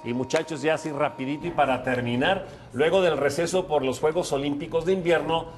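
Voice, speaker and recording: A man working as a sports anchor, narrating game highlights from a TV studio. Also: brisk.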